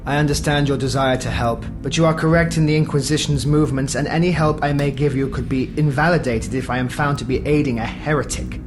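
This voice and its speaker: A guarded, formal priest in an audio drama. The voice is very deep and speaks in measured phrases, with clear music underneath. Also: female voice, steady tone. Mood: guarded, probing.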